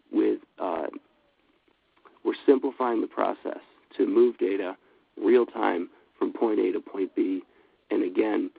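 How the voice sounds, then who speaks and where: Gravelly man on a phone line, with a cold. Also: on the low side, dry recording. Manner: steady, businesslike delivery with frequent fillers. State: has a cold. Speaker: webinar presenter. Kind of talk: scripted product presentation.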